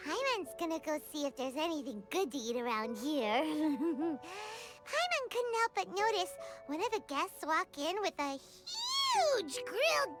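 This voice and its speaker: A tiny cheerful fairy companion for a video game. The voice is extremely high, squeaky and childlike, swooping with bubbly, bossy chatter. Music plays prominently behind it. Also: female voice, heavily acted. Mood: cheerful, caring. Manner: bubbly, bossy, speaks of self in third person.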